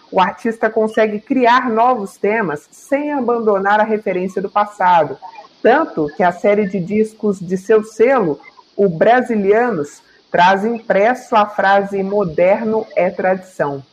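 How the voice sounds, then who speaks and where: A woman TV presenter who reads a scripted introduction in a clear, measured way, then interviews a guest. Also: mid-range voice, darkish tone, rough recording.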